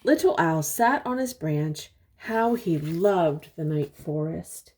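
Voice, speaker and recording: Slow, husky older woman reading to children. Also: deep voice. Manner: expressive, simple explanations and questions for little listeners. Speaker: host reading a children's picture book aloud. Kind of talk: read-aloud storytelling with explanatory asides to young children.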